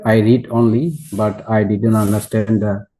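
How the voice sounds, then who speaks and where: A man's voice: fairly low pitch, little pitch movement, unhurried, heavy background noise. A man from Bangladesh, a newly ordained monk, introducing himself in simple, careful English. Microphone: video call.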